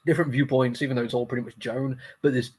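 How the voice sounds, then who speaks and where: A man's voice: medium pitch, level tone, fast, darkish tone, slightly rough. Sniffly man hosting a casual review. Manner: apologetic, rambling, conversational. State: congested, sniffly with a cold. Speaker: comic book review host.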